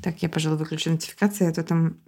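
A woman's voice: low-pitched, fairly even intonation, very fast, a little mellow, husky. A woman in her thirties co-hosting a podcast in casual chat, rambling with many fillers and restarts. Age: 30s. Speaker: podcast co-host.

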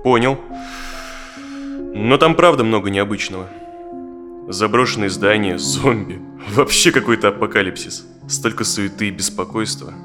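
An actor playing an uneasy therapy client in his thirties in an audio drama, speaking in a halting, reflective way. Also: on the low side, music in the background, close to an everyday voice. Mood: hesitant, uneasy. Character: uneasy, tired thirty-five-year-old male therapy client.

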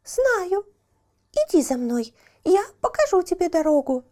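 Tiny storybook bird: squeaky-high, chirping, grateful and eager to help. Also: female voice, big pitch swings, measured pace, dark tone, heavily acted. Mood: helpful, cheerful. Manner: chirping, exclaiming.

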